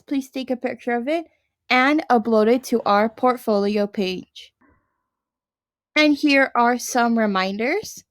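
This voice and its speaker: A young adult woman, a first grade teacher, reading a picture book aloud to her class and talking to her students. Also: sits high.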